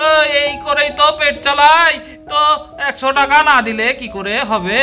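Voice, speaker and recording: A man's voice: very high voice, moderate pace, sharp tone, full character voice. A man voicing an eager village camel driver in a doll story, haggling and reassuring, often repeating short phrases. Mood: haggling, pleading. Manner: repeats short calls and urgings. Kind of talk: amateur doll-story voice acting.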